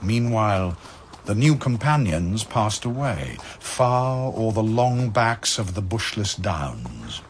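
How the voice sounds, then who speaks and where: Deep, slow, dramatic male narrator. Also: very animated, a little hiss or hum. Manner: grand, theatrical storytelling. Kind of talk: dramatic scripted narration of epic verse. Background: music.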